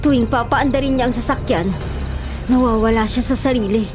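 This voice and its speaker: A woman's voice: fairly high pitch, brisk, lightly acted. A woman with a Filipino accent playing a distraught, defiant heroine fighting for her friends, in an emotional radio drama style. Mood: grim, regretful. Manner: emotional, intense, melodramatic. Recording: dramatic music underneath.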